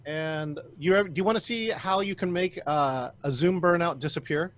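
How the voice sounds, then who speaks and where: A man's voice: on the high side, moderate pace, fairly bright tone. A man from California leading a video call meeting, upbeat and chatty with lots of restarts. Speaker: meeting facilitator. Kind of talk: casual video call hosting.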